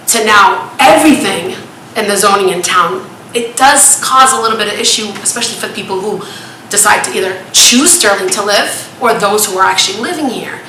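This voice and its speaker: Woman resident at a public hearing: loud, bright, rambling and insistent, in an echoey meeting hall. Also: mid-range voice. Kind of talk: public comment at a town meeting. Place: town hall meeting room. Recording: echoey meeting room with light noise.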